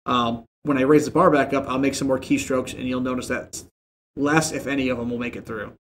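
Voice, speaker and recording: A young man in a home streaming room who explains audio settings in a relaxed, rambling way. He uses lots of fillers, and his desk mic sits about a foot and a half from him. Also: mid-range voice, a little fast, a little hiss or hum. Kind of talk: casual screen-share tutorial. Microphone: desk mic about a foot and a half away, headset on.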